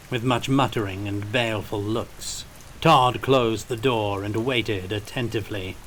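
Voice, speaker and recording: A man narrating scripted fiction as an audiobook reader, in a deliberate, measured manner. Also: lowish voice, a bit bright, a little hiss or hum, poor audio.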